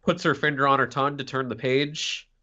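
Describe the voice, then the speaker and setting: A man's voice: mid-range voice, steady tone, brisk, sharp tone. A man co-hosting a podcast in casual conversation, on a slightly lo-fi voice track. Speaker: podcast co-host. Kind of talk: casual chat.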